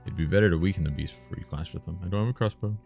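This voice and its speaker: Very deep, gravelly man streaming a game. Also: racing, darkish tone, soft background music. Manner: musing, muttered short phrases, thinking aloud. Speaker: live streamer playing and reading a text game. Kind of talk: casual chat mixed with reading game text aloud.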